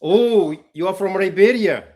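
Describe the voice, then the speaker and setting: A man who makes music talks in a casual, hesitant way, often stumbling and restarting his sentences. His voice sits a little high. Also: a little slow, warm tone. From Kenya. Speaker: musician playing songs for strangers. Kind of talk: casual chat.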